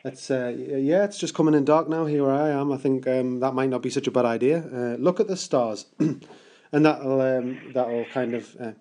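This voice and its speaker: A man's voice: mid-range voice, moderate pace, warm tone. A British man making an improvised prank call, rambling and stammering with mock-earnest seriousness. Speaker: prank caller.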